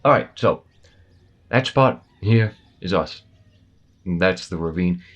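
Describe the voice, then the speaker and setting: A low-pitched, easygoing military man on checkpoint duty, acted by a man. He is matter-of-fact and casual, explaining things a little hesitantly in the manner of an audio roleplay. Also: lively intonation, slow, full character voice. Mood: businesslike.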